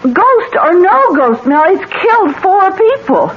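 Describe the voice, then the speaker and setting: A woman's voice: very high voice, sing-song, slow pace, exaggerated voice. A woman acting in a 1970s radio drama, playing an anxious, pleading wife with an earnest delivery. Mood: urgent. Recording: muffled old radio broadcast, faint noise.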